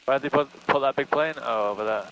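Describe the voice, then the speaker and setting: A man's voice: medium pitch, fast, over a noisy crowd, low-quality recording. A man learning to fly, chatting casually and informally in short replies during a lesson. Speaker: student helicopter pilot. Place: small helicopter cockpit. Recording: engine noise inside helicopter cockpit.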